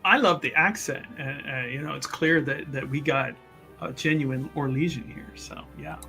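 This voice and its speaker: A man's voice: medium pitch, moderate pace, vocal fry, bright, forceful tone, soft background music. A man chatting casually as a tabletop game player, rambling and joking as he introduces his character.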